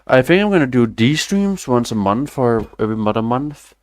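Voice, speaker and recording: A man's voice: medium pitch, big pitch swings, moderate pace, no room sound, studio quality. A young man, chatting loosely on a stream, rambling and swearing casually with self-deprecating asides. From Denmark. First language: Danish.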